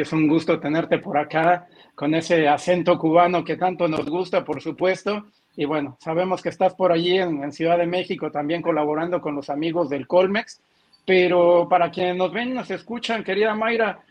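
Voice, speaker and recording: A man hosting a podcast, chatting casually and courteously as he interviews a guest. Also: high-pitched. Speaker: podcast host.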